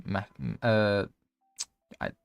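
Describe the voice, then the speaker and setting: A man's voice: on the low side, steady tone, slow, creaky, smooth, dry recording, clean studio sound, soft background music. A man with a Polish accent, coaching as he reviews gameplay footage in a casual, rambling way, full of fillers and the odd swear word. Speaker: game coach.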